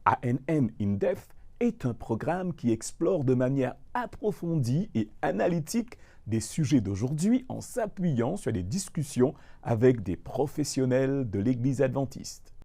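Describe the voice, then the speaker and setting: A man reading scripted news narration in French with a lively, varied delivery. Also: medium pitch, unhurried.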